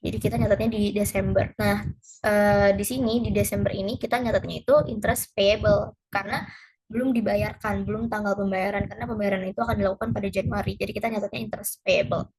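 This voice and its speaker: Young woman, mid-pitched and slightly husky, for a hesitant, informal tutorial read. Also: little pitch movement, quick pace, darkish tone, no room sound, poor audio. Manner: hesitant, conversational, mixes in English terms. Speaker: presenter explaining an accounting lesson.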